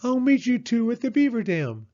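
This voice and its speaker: A man voicing an eager, self-assured owl in a children's storybook, with hooting, drawn-out exclamations. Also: very high-pitched, very animated, a little mellow, muffled recording, voice pushed up. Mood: excited.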